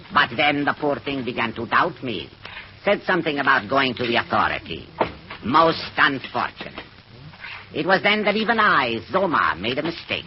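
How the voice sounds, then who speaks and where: Sinister radio-play cult leader, theatrical gloating, wide swooping intonation, muffled old recording with some hiss. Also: male voice, fairly high pitch. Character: sinister grandiose male cult leader, coolly murderous. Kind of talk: 1940s radio drama acting.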